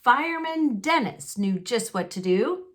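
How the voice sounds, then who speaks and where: A woman reading a children's storybook aloud expressively and deliberately, with dramatic pauses. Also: on the high side, lively intonation, fairly bright tone, slight background noise. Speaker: storybook reader.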